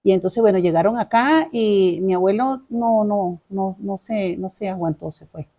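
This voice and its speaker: A woman's voice: mid-range voice, moderate pace, warm tone. A middle-aged woman with a Caracas Venezuelan accent, telling her life story informally as an interview guest, chatty and courteous, often repeating her phrases.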